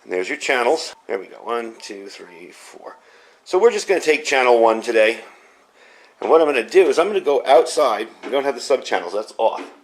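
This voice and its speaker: A man's voice: mid-range voice, expressive, fairly quick. Casual man reviewing gear. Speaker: product reviewer. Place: indoors at home.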